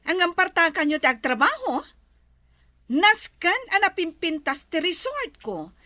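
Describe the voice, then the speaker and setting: A woman with an Ilocano accent playing a bossy, haughty, wealthy resort owner in a radio drama, with drawn-out, imperious delivery. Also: very high voice, very animated, sharp tone, no echo, clean studio sound, heavily acted. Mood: businesslike.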